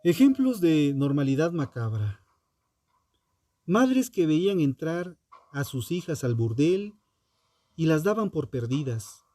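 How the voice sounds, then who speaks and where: A man reading a scripted true crime narration in a solemn, dramatic manner, in short phrases with emphatic pauses. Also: a little high, big pitch swings, dark tone, no room sound.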